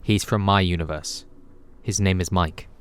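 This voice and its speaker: A man's voice: low voice, steady tone, normal speed, clear, resonant tone, close to an everyday voice. A man playing a grave, secretive alien time traveller in an audio drama, solemn and imploring.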